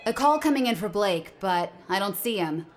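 A woman's voice: mid-range voice, expressive, normal speed, faint music behind, lightly acted. An actress playing a casual, efficient woman working in an agents' office in an audio drama. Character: casual, efficient female FBI office colleague.

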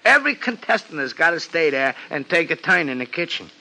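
An anxious, slangy city florist from a 1950s radio crime drama. His voice is high and very sharp, and it swings up and down as he frets like a streetwise little guy. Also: sing-song, a little fast, vocal fry, exaggerated voice, low-quality recording. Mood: worried, proud. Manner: slangy, ungrammatical tough-guy talk.

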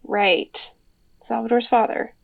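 A woman's voice: on the high side, steady tone, slow pace, gravelly, no echo. A woman acting as a casual, conversational character on a phone call in an audio drama, in a naturalistic style. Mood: curious. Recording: muffled, thin phone-like audio.

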